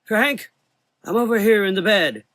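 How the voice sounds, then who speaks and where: An elderly doctor in a gothic audio drama, haunted and confused. The thin, weak voice sits high and stumbles over repeated phrases. It is recorded close and dry. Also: male voice, fairly bright tone, no echo. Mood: weak, surprised. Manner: halting, repeating phrases, exclaiming.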